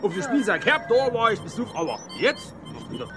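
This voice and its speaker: An actor with a Saarland accent playing a tipsy, cheeky, boisterous amateur astronaut in a German radio comedy, talking colloquially. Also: male voice, very high-pitched, big pitch swings, fairly quick, over loud music. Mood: tipsy, boastful.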